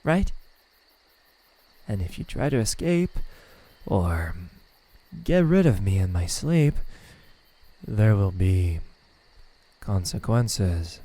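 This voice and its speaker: Here is a man acting as a lonely immortal demon in an ASMR roleplay. His voice is low and raspy, and he speaks very slowly. He is menacing and condescending, yet halting, with a tender side underneath. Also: very animated, warm tone, exaggerated voice. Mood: threatening, haughty. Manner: slow, halting, condescending speech with stammers and sighs. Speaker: voice actor.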